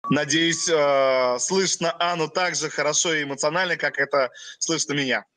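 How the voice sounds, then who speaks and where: A young man from Russia, a company president giving a webinar in short phrases and pausing for his interpreter. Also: on the high side, fairly even intonation, pushed and bright. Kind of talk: webinar presentation.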